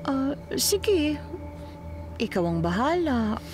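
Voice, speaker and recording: A woman's voice: high voice, very animated, takes it slowly, over music, exaggerated voice. A woman with a Filipino accent playing a coy, flirty gangster's mistress in an audio drama, speaking hesitantly. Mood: coy, drowsy.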